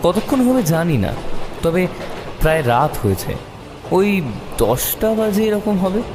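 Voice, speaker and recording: A man narrating a detective story in the first person with expressive, suspenseful dramatic delivery. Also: high voice, unhurried, noisy background. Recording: long reverb, music behind the voice.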